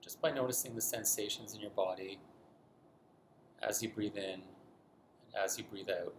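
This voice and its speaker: A man leading a guided body scan meditation in a gentle, unhurried manner with long pauses. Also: fairly low pitch, steady tone. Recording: roomy echo, faint background noise.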